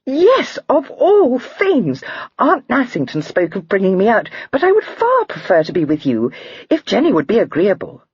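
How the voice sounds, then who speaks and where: A woman reading aloud, voicing an excitable, teasing young lady in a high, breathy, girlish voice. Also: moderate pace, dry recording, muffled recording, exaggerated voice. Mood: rapturous.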